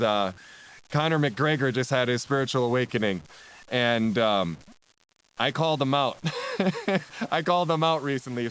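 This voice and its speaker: A man's voice: medium pitch, fairly bright tone, rough recording. Rambling man, laughing often. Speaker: spiritual teacher guest. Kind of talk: casual podcast conversation.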